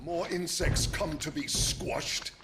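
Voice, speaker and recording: A man's voice: on the high side, takes it slowly. A man playing a haughty, gloating villain in a video game, pompous and taunting as he sneers with menace. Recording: loud music over the voice.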